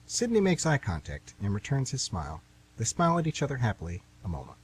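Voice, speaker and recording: A man's voice: mid-range voice, huge pitch swings, quick pace, husky, rough recording. A man reading scripted narration as the narrator of a radio play.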